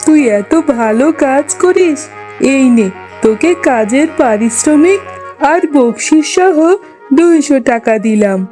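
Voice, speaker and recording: A high-voiced village auntie , acted by a woman in a comedy cartoon. She speaks as a bossy employer to her helper, with faint music underneath. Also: lively intonation, unhurried, dark tone. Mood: pleased. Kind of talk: comic cartoon dialogue.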